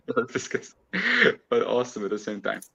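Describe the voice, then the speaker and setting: A man's voice: mid-range voice, sing-song, fairly quick, creaky, highs cut off. A man from Croatia, a guest on a casual online chat, talking in a chatty way and laughing often as he explains.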